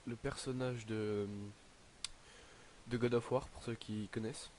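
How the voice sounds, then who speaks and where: A teenage boy narrating his game in a casual, hesitant way, filling pauses with "donc, euh" and sounding slightly stuffy from a cold. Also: medium pitch, little pitch movement, darkish tone, slight background noise. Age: teens. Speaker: gaming YouTuber. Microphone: headset.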